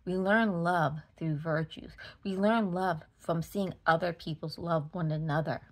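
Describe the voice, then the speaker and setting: Low-pitched Boston woman, emphatic. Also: steady tone, measured pace. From Massachusetts, United States. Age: 40s. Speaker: vlogger. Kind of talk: casual opinion rant to camera. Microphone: phone at arm's length.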